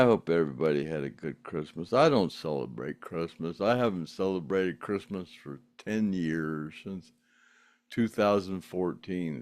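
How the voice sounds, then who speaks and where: A man's voice: sits low, no room sound. An older man, chatting casually as a podcast host reading out viewer comments, rambling with frequent laughs and restarts. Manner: rambling, laughs often, many fillers and restarts. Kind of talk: casual chat.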